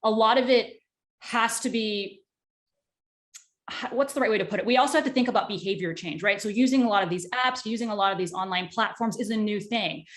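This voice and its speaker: A woman interview guest talking conversationally, in a candid, excitable and talkative way. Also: mid-range voice, a little fast. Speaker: tech startup executive, interview guest.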